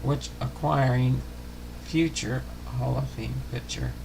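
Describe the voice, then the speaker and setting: A man hosting a baseball history series, reading a biography aloud in a halting way, stumbling and rereading words. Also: medium pitch, steady tone, creaky, background noise.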